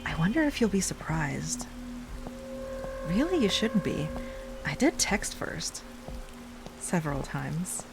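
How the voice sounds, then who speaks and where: A woman playing an obsessive, lovesick stalker ex-girlfriend in an intimate audio roleplay monologue, sweet yet menacing, with a breathy delivery that drifts into whispers. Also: fairly low pitch, sing-song, slow pace, long pauses, full character voice. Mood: sweetly menacing. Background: rain and music.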